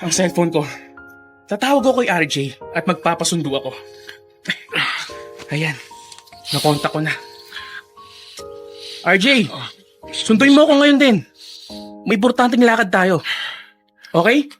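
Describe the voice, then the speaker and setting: A man's voice: sits high, animated, measured pace, pauses often, fairly bright tone. A spoiled rich young Filipino son in a radio drama. He is haughty and contemptuous, barking orders with open scorn. Faint music sits behind the voice. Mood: cold, dismissive. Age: young adult.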